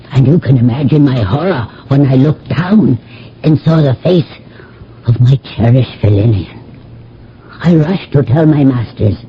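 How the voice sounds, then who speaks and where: Formal, philosophical ancient household slave. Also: male voice, medium pitch, gravelly, slight background noise. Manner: formal, storytelling, dramatic. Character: devoted, philosophical male household slave in antiquity. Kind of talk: radio drama acting. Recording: dull old radio, faint music.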